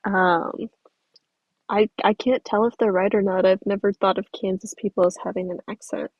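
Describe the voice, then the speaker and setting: A woman's voice: mid-range voice, fairly even intonation, normal speed, dry recording, highs cut off. A young woman from the American South, chatting casually on a livestream while reading out viewer comments. She rambles in a friendly way, uses many fillers and laughs easily. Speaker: stop-motion creator streaming.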